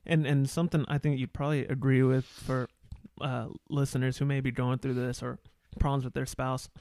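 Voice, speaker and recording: A man's voice: medium pitch, flat delivery, a little fast, darkish tone. A man hosting a podcast interview in a casual, encouraging, conversational way. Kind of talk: casual, supportive interview chat.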